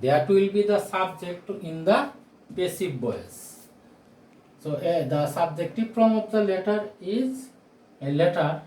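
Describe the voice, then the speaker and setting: A man in his forties, a non-native English speaker, teaching grammar at a whiteboard in a deliberate, projected way, repeating key phrases and asking rhetorical questions. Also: sits high, expressive, a little mellow. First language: not English. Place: small indoor room with bare walls, at a whiteboard. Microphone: camera or phone mic a few steps away. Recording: roomy echo, distant camera microphone.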